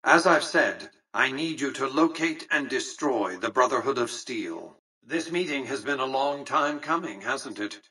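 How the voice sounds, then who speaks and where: A man playing an aged, imperious industrialist in a video game, speaking formally and condescendingly with precise, measured diction. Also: mid-range voice, lively intonation, pushed and bright, slightly rough, far from the mic, heavily acted, rough recording. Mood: measured, businesslike. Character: aged, shrewd, imperious industrialist tycoon ruling a casino city. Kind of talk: video game character dialogue.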